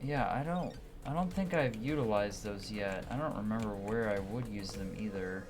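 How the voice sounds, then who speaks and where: A gamer with a mid-pitched voice plays through a video game, reading on-screen text aloud and muttering short comments in between. Game music runs behind the voice. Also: moderate pace. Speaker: video game player and amateur voice-over reader. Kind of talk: let's play commentary with dialogue read aloud.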